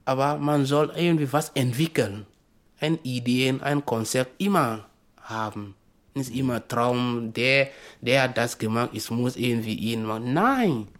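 A man's voice: mid-range voice, unhurried. A man giving unscripted advice in short, emphatic, exhorting phrases.

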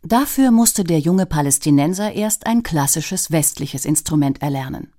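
A woman reading a scripted documentary narration in a measured, even way. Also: deep voice.

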